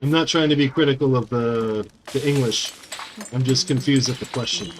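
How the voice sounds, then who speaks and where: A man's voice: mid-range voice, moderate pace, slight background noise, low-quality recording. A man in his thirties chatting with viewers while streaming a game, rambling with lots of fillers into a small condenser mic at home. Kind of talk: casual live-stream chat with viewers.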